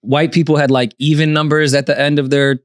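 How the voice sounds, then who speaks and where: Male podcast host, 30s, rambling deadpan asides, medium voice, close dry studio mic. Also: a little high, a little fast, dry recording. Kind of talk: casual banter.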